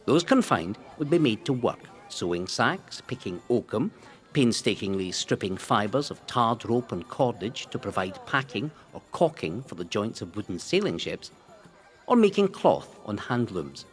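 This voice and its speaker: A Scottish man reading a scripted history narration in a dramatic, deliberate storytelling way. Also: on the low side, big pitch swings, soft background music. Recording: long hall-like reverb.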